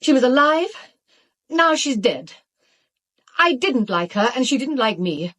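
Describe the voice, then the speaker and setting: High, husky, sharp-tongued British woman. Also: big pitch swings, a little slow, full character voice. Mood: bitter, agitated. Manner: blunt, emphatic. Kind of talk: radio drama acting.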